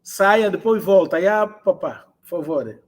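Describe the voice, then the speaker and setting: Male online language tutor, high register, translates and repeats phrases for students. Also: expressive. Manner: repeats phrases slowly for learners. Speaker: language teacher.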